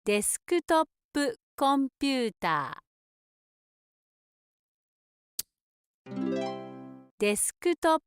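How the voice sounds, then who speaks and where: A woman speaking for a language course, pronouncing each word slowly and carefully as a drill. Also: high voice, sing-song, music in the background. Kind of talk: clear word-by-word pronunciation drill.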